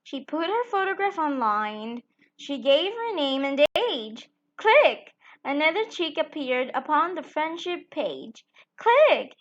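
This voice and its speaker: High-pitched woman reading a children's story slowly. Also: sing-song, dull audio. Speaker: children's storytime channel host. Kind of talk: read-aloud children's picture book narration.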